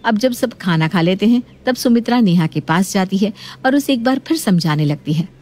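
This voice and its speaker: A woman's voice: medium pitch, animated, normal speed, darkish tone. A woman narrating a scripted family story as a storyteller.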